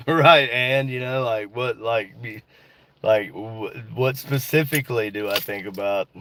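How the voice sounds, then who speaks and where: Laid-back driver with a cold, nasal, slow-talking, swears freely, noisy car cabin. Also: male voice, medium pitch, pushed and bright. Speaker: livestream host. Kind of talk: casual chat while driving. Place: inside a car on the road.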